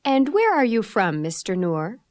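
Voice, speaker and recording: A woman voicing a polite receptionist who takes names in a scripted textbook dialogue, speaking clearly and deliberately. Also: medium pitch, big pitch swings, darkish tone, dry recording, studio quality. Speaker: office receptionist.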